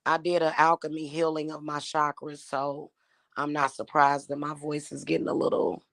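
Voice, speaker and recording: A woman in her thirties, a spiritual YouTuber talking casually to her viewers, her voice slightly hoarse and her talk aggrieved and repetitive. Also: sits low, monotone, moderate pace, no echo. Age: 30s. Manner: aggrieved and repetitive, with frequent fillers.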